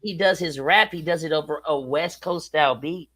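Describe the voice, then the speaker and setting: A man's voice: fairly high pitch, moderate pace, bright, forceful tone. Rapper guy on a podcast, preachy and emphatic, keeps driving it home. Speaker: guest rapper. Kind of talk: casual interview chat.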